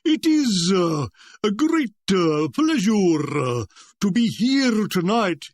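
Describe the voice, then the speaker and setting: Man putting on a fat old-ish tenor, high snotty nasal voice, halting and formal, middle-aged. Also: very animated, heavily acted. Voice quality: catarrhal, nasal. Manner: halting, formal stage address.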